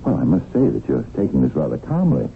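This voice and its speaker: Stammering, deep-voiced small-time lawyer. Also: male voice, wildly swooping pitch, creaky, exaggerated voice. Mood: grave, uneasy. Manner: stammering, halting, formal. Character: hesitant, flustered small-time lawyer, married man. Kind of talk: 1970s radio drama acting. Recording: tinny, noisy old radio broadcast.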